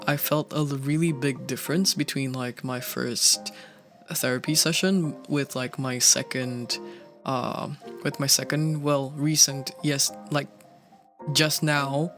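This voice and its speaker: Slow, halting streamer chatting casually. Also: medium pitch, fairly bright tone. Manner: halting, many restarts and fillers. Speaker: streamer and cover singer. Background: faint background music.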